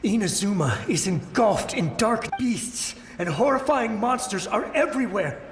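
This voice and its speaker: Desperate samurai soldier, echoing high voice. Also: male voice, lively intonation, a little hiss or hum. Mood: frantic warning. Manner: urgent, pleading, halting. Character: desperate, terrified male samurai soldier, loyal, a dying memory. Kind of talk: dramatic video game voice acting. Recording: echoing voice under music. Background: music.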